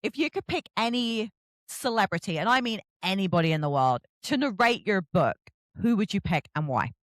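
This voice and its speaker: A woman's voice: mid-range voice, lively intonation, normal speed, dry recording. A woman hosting a casual author interview, chatty and effusive in how she reacts and asks questions.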